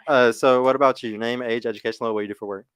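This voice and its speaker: A man's voice: mid-range voice, fairly even intonation, clean tone, no room sound, studio quality. A man hosting a casual dating podcast, hyped up and cursing freely. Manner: brisk, hype, swears freely. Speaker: dating show host.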